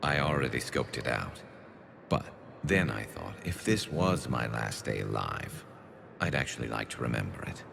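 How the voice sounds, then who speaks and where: A man playing a wry, rebellious alien ex-security officer in a video game, with a gravelly, dry, laid-back delivery. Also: very animated, moderate pace, a crowd in the background, full character voice. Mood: wry, friendly. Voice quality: very deep, gravelly. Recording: background music under dialogue.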